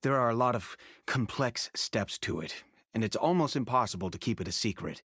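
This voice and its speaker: A man's voice: medium pitch, expressive, brisk, a bit bright. An actor playing a composed, affable male guard officer in a video game, assured and matter-of-fact. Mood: assured, musing.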